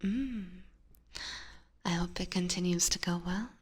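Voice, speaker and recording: Softly whispering Hungarian woman. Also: lowish voice, slight background noise. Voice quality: soft whisper. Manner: slow, gentle, answers chat. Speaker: ASMR streamer. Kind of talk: whispered ASMR livestream chat. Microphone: binaural mic.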